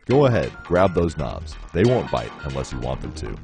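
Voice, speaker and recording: Deep-voiced man narrating a product demo. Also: very animated, normal speed, vocal fry. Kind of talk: scripted promotional narration. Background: synthesizer music.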